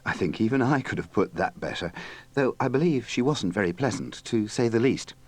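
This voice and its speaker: A man's voice: on the low side, animated, a little fast. A man hosting a compilation with scripted, wry, sardonic links. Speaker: compilation host. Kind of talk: scripted dry link narration.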